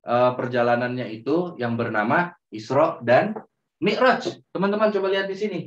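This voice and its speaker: Gentle male storyteller, far off in an echoey room. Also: on the high side, animated. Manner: gentle, drawn-out, addresses kids as friends. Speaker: children's storyteller. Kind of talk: storytelling for children. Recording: roomy, distant, dull sound.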